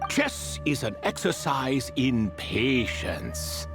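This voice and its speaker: A proud, wistful ancient spirit in a video game. His delivery is refined and theatrical, swinging widely in pitch as he unhurriedly spins his tale over faint music. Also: big pitch swings, slow, vocal fry, heavily acted. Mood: fond, wry. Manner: theatrical, refined, leisurely storytelling. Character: ancient cat-borne spirit, proud, wistful, wry male retainer. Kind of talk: video game character dialogue.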